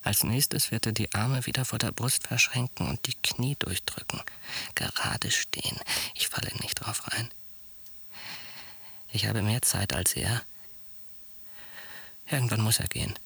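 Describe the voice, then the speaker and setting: An actor playing a watchful, paranoid psychiatric patient in a radio drama, muttering an obsessive inner monologue in a breathy, hissing, hushed voice. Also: male voice, lowish voice, steady tone, measured pace, pauses often, whispered, full character voice. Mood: paranoid, certain. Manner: hushed, obsessive, clipped sentences.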